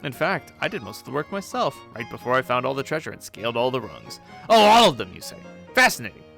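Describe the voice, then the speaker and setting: A man's voice: medium pitch, big pitch swings, fast talker, vocal fry, fairly bright tone, soft background music. A man voicing a sly, cocky teenage female alien troll who taunts and mocks. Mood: mocking. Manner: mocking, gleeful, taunting.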